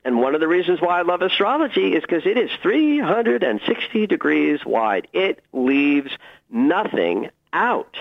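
Male astrologer guest, enthusiastic and emphatic, very lively intonation, slightly muffled recording. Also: on the high side, measured pace, vocal fry. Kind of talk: radio interview, conversational advice.

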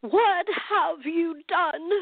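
Radio play role: imperious octogenarian woman acted, very high pitch, formal scolding, dry but phone-like sound. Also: drawn-out words, gravelly, dry recording, heavily acted. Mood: outraged. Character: cantankerous, commanding woman in her eighties. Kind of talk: radio theater acting. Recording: tinny, phone-like narrow band.

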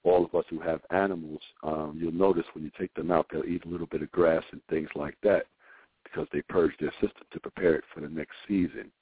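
A hoarse man calling in to a radio show, talking in an earnest, rambling way with many fillers. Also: very deep voice, fairly even intonation, brisk, dry recording. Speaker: caller. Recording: dry, tinny phone line.

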